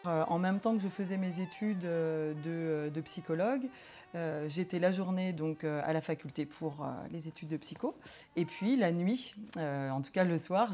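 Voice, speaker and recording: A woman psychologist in an interview, talking reflectively with many pauses and hesitant fillers. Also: low-pitched, dark tone.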